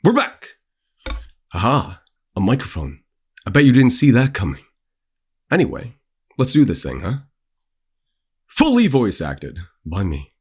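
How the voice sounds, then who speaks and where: A man's voice: mid-range voice, wildly swooping pitch, unhurried, long pauses, darkish tone, slightly rough. A man in his thirties with a theatrical, intense and playful manner, talking and reading dramatically close to a desk microphone. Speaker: host and author reading own novel. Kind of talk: theatrical chat leading into dramatic narration. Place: small dim home room. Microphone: desk condenser mic with pop filter, close up.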